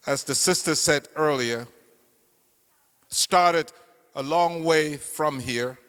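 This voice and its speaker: An older man from Cameroon speaks to a crowd in a meeting hall, his voice bright, forceful and pitched a little high. He tells his story earnestly, leaning on words slowly. The sound carries a long hall reverb. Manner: earnest, storytelling, slow deliberate emphasis. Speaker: political candidate speaking at a meeting. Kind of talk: campaign speech.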